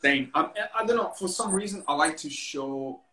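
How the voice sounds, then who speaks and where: A man in his thirties hosts over a laptop webcam at home, asking questions in a hesitant, filler-laden way. His voice has a bright, forward edge, and the room echoes around it. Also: on the high side, animated, normal speed. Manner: hesitant questions with fillers, non-native phrasing. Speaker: host. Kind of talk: casual video call interview. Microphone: laptop webcam on video call. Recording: roomy webcam audio.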